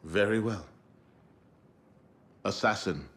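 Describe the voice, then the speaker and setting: A man acting a grave, commanding leader of a secret assassin order in a video game, speaking in a measured, formal way. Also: fairly low pitch, a bit bright. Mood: solemn. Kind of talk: dramatic game cutscene acting.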